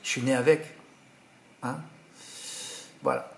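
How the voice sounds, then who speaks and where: A man's voice: mid-range voice, wildly swooping pitch, slow, slight background noise. Indignant French man with a regional accent. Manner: indignant, rambling, full of "hein" and "voilà". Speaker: horse racing tipster. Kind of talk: casual monologue to subscribers.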